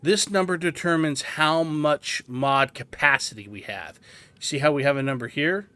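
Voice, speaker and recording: A man's voice: fairly high pitch, normal speed, sharp tone, clear, resonant tone, no echo. A man hosting a video game guide, giving methodical, instructional tutorial commentary.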